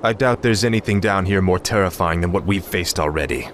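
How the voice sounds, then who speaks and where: An actor playing a proud, vengeful young warrior prince in a fantasy game, commanding and intense. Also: male voice, low voice, fairly quick, a little hiss or hum, lightly acted. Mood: resolute. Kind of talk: fantasy video game cutscene acting. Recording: loud game music behind the voice.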